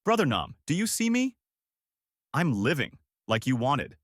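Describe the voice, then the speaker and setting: A man's voice: fairly high pitch, sing-song, moderate pace, fairly bright tone, no room sound, pitched above the performer's own voice. A devoted woman teacher, acted out by a male narrator, pleading in raised, sweeping cries. The voice is desperate and emotional, recorded close and dry, in storybook style.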